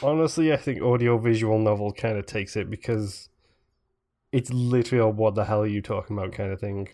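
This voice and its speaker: A man's voice: on the low side, a little fast, mellow. A man chatting casually as a podcast co-host.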